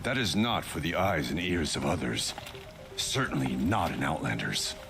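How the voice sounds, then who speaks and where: Very deep, stern warrior chieftain. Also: male voice, lively intonation, normal speed, over music. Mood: guarded, grave. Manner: grave, formal, curt. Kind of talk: video game dialogue acting.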